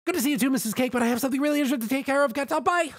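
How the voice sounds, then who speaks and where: A man voicing a frantic, rushed female party pony in a story. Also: extremely high pitch, no room sound, clean studio sound, pitched above the performer's own voice.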